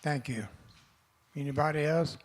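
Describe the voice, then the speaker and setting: A man with a Texan accent chairing a city council meeting, speaking in a plain, folksy, halting way. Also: a little high. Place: council chamber.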